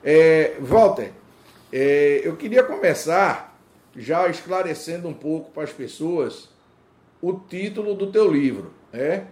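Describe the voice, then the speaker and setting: A man hosting an interview, introducing his guest formally and asking questions in a measured, complimentary way. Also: on the high side, lively intonation, a little mellow. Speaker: interview host.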